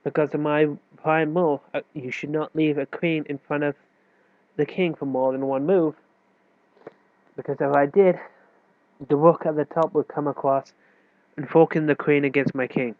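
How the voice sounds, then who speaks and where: A man's voice: a little high, steady tone, moderate pace, pauses often, dry recording. Nasal man with a cold, tinny recording. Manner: loose, self-correcting explanation with fillers. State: stuffy with a cold. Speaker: chess teacher. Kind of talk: casual chess tutorial explanation. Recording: tinny, dry phone-like recording.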